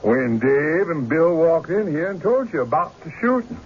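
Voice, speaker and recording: An actor playing a calm, dutiful frontier deputy in an old-time radio drama, terse and official. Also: male voice, a little high, moderate pace. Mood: easygoing. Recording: dull, lightly noisy radio recording.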